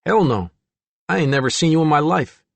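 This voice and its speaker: A man's voice: a little high, animated, brisk, vocal fry, a bit bright, dry recording, voice pushed up, heavily acted. A man voicing a sullen, arrogant sixteen-year-old boy who talks in a curt, slangy, dismissive way in an audiobook. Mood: hostile.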